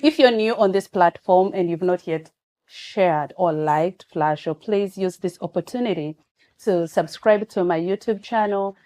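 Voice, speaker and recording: A woman hosting an online talk show, making slow, repeated appeals to her viewers. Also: on the low side, dark tone.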